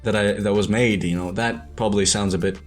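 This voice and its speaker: A young man from Ireland muses aloud in a slightly low voice, stumbling and restarting his sentences as he reflects. Soft music sits faintly underneath him. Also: fairly even intonation, fairly quick. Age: young adult. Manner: hesitant, rambling, many restarts and self-corrections. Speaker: animator and show creator. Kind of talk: reflective monologue to camera.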